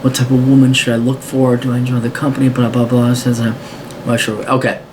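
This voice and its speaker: A blunt, profane American man hosts a podcast, reading out a listener's letter and answering it in casual rants. His voice sits in an ordinary middle pitch. A faint hiss of noise runs under the recording. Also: level tone, fairly quick. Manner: blunt, swears often, mocking voices for asides. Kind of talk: casual profane advice monologue.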